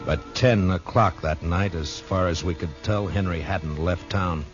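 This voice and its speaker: Deep-voiced grim American western marshal. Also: male voice, a little slow. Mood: grave, reflective. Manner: laconic, authoritative. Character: grim, steady US marshal in the Old West. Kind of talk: 1950s radio western acting and narration. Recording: dull vintage 1950s radio recording with music.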